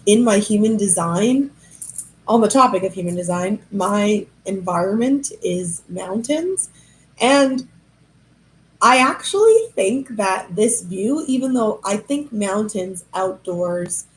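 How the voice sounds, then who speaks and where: A young adult woman hosting a podcast livestream from a high-rise apartment. She has a head cold that makes her nasal, and she chats in a casual, rambling, self-amused way. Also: animated, slow, a little hiss or hum, rough recording. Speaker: podcast host.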